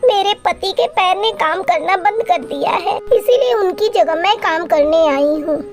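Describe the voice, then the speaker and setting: A woman's voice: extremely high pitch, unhurried. A woman voicing a dutiful young wife sparrow in a cartoon, polite and earnest. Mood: earnest, concerned. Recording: faint music behind.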